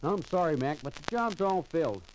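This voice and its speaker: A man's voice: a little high, lively intonation, brisk, a little hiss or hum, close to an everyday voice, low-quality recording. A man playing a brash slangy foreman. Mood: dismissive. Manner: blunt, dismissive slang. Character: brash tough-talking American foreman. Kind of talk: 1940s radio acting.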